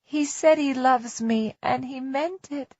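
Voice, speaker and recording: Woman playing a guarded, slow-spoken middle-aged wife. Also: high-pitched, darkish tone, dry recording, dull audio, pitched above the performer's own voice, exaggerated voice. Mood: anguished, inward. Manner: stern, controlled.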